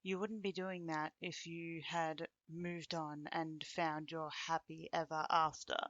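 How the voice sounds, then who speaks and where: A woman's voice: low voice, little pitch movement, fairly bright tone, no room sound, muffled recording. A woman playing a bitter, taunting young ex-girlfriend in an ASMR roleplay, speaking to the listener in a teasing, sardonic way. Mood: taunting, cold. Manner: teasing, sardonic, with dry chuckles and pointed pauses.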